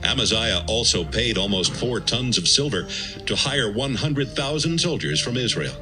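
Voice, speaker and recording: A man's voice: medium pitch, animated, normal speed. A man giving a scripted, dramatic narration of scripture as the narrator of an audio Bible. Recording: music bed behind the voice.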